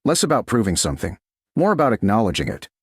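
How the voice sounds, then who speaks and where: A man narrating a scripted romance story in a measured, dramatic way, in short clipped phrases. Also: lowish voice, big pitch swings, slightly rough, dry recording, studio quality. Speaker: audiobook narrator.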